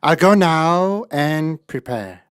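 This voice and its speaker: A woman's voice: deep voice, lively intonation, slow pace, smooth. Lady doing a clever boots-wearing cat for a kids cartoon, persuasive n courtly, voice bounces around. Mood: courteous, sly. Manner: persuasive, deferential to royalty. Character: clever, confident talking cat in boots, male. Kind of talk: children's fairy tale cartoon acting.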